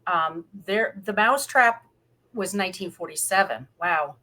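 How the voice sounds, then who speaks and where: Bright-voiced woman podcast co-host. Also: lowish voice, lively intonation, measured pace. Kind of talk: casual podcast chat.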